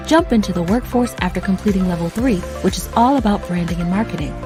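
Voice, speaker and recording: Woman reading a polished promo over music. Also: a little high. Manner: polished, upbeat sales delivery. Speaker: voice-over coach promoting own courses. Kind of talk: scripted promotional narration.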